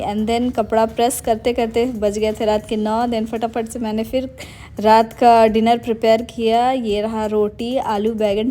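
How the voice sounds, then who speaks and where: A woman's voice: a little high, mellow. A young adult woman narrating a casual daily-life vlog, chatty and meandering, mixing English words into her Hindi. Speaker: vlogger.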